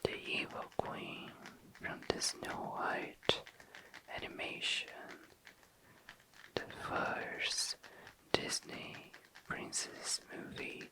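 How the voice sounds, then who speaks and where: An ASMR host speaking in a very low, breathy whisper close to the microphone, deliberately lingering on and repeating words. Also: rough recording. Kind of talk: ASMR, slow soft whispering.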